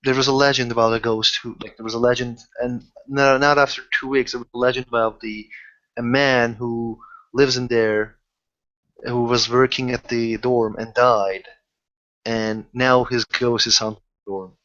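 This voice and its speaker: A man who haltingly searches for words as he improvises in a casual tabletop roleplaying session. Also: medium pitch, flat delivery, fairly bright tone, highs cut off. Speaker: game master or player in a tabletop roleplaying session.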